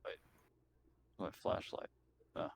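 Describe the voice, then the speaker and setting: A man playing a tabletop roleplaying game, chatting casually in and around his character. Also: takes it slowly.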